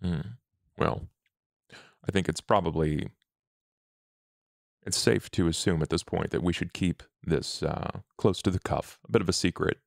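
A man role-playing a cautious male vampire confidant in a tabletop game, talking in a measured, thoughtful manner. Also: low-pitched, creaky, no room sound, clean studio sound, exaggerated voice. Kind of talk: improvised tabletop role-play acting.

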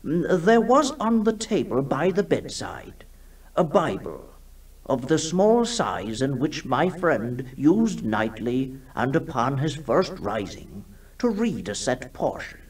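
A man playing a formal, hesitant scholarly gentleman in a 1960s radio drama, with a husky voice. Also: medium pitch, very animated, heavily acted. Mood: hesitant, earnest. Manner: halting, formal, fussy. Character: scholarly, hesitant old-time gentleman writing a solemn account. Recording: distant 1960s radio recording.

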